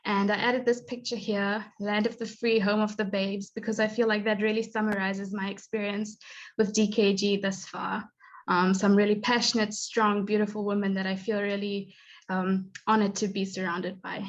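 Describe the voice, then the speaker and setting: A young woman gives an earnest prepared talk, with soft laughs and the odd filler. Her voice sits in the middle of her range. The audio is somewhat dull and lo-fi, like a video call. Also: medium pitch, little pitch movement, normal speed. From born in Zimbabwe, raised in South Africa. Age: 20s. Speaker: guest speaker, graduate student.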